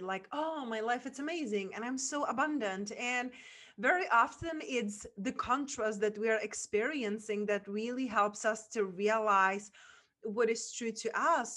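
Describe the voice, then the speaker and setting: A woman's voice: medium pitch, fairly bright tone. A woman from the Czech Republic with a Czech accent, talking as a guest on a business podcast in an earnest, effusive way with many fillers. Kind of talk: casual interview chat.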